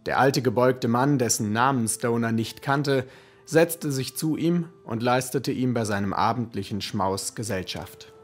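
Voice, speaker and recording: A man narrating a radio play as a scripted, literary story in a measured, dramatic manner. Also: mid-range voice. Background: music.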